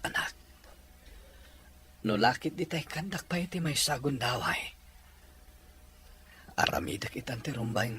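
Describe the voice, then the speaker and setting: A man playing a despairing, remorseful father just out of prison in an audio drama, sighing and pleading haltingly. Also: medium pitch, a bit bright, slight background noise. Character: remorseful, despairing ex-convict father returning home. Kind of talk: emotional Ilocano audio drama acting.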